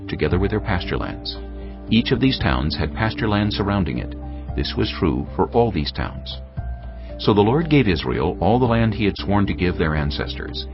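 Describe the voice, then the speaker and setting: A man narrates scripture solemnly in a deep voice with expressive, wide-moving intonation. His delivery is measured and scripted. Music plays clearly behind him. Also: lo-fi sound. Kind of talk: dramatized audio Bible narration.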